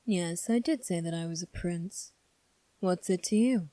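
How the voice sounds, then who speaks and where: A woman playing a calm, sly young prince in an ASMR roleplay, speaking in a measured, formal, teasing way. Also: lowish voice, no room sound, lightly acted. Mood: coolly probing. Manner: measured, formal, coolly probing. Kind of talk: ASMR roleplay audio drama.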